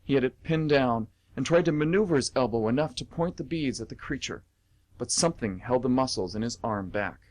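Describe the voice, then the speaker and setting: A man's voice: medium pitch, lively intonation, fairly quick, darkish tone. A man reading dramatic story narration as the narrator of an amateur radio play.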